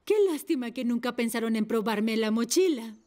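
A woman's voice: on the high side, moderate pace, a little mellow, close to an everyday voice. A woman voicing a supportive, good-humoured mother rescue motorcycle in a Spanish-dubbed children's cartoon, with an encouraging manner. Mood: teasing.

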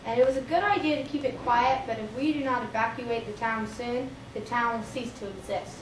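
A woman's voice: fairly high pitch, brisk, natural voice. A young teenage girl playing a deferential, grave government aide in an amateur school drama. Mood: urgent, formal. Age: young teen. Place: small library office. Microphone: far camera. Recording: echoey room, distant mic, clear noise.

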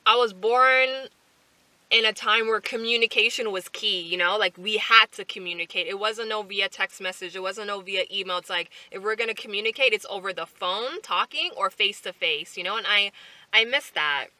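Bright, chatty young woman vlogging. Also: medium pitch, normal speed, no echo, low-quality recording. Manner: chatty, animated, lots of fillers and asides. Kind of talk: casual chat to camera. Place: parked car, indoors. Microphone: camera on the dashboard.

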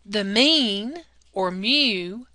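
Patient female math tutor, slow and deliberate, bright tone, dry close voice-over. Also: mid-range voice, expressive, no echo. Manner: patient, step-by-step teacherly delivery. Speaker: math lab technician narrating a lesson. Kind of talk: slow, deliberate classroom explanation over slides.

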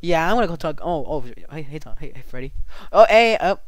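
A man playing an excitable, bratty restaurant worker in an improvised comic game roleplay, whining and repeating himself. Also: fairly high pitch, fairly quick, dry recording, natural voice. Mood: bantering. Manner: excitable, whiny, repeats phrases. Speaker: player in a Minecraft roleplay video.

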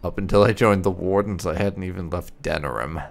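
Low, creaky-voiced man commentating a game. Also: fairly quick. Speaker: let's play host. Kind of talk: casual gameplay commentary with roleplayed dialogue.

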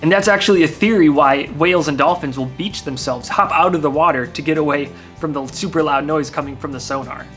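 A man's voice: on the high side, brisk, few pauses, fairly bright tone, faint music behind. A man in his twenties with an American accent, hosting a science video in a casual, conversational way to camera. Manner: informal, conversational. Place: open-fronted garage workshop.

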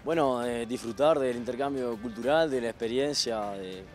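Halting man, mid-pitched voice. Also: a little mellow, soft background music. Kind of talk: interview.

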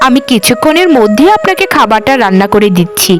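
A woman's voice: a little high, very animated, fast, over music. A woman voicing a stubborn but caring young housemaid in a cartoon, with an argumentative manner. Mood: exasperated, caring. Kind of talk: cartoon voice acting.

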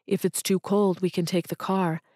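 A calm, kind businessman, voiced by a woman reading. He speaks gently and evenly in a lowish voice. The sound is close and dry. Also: dry recording, natural voice. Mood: gentle. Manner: gentle, measured.

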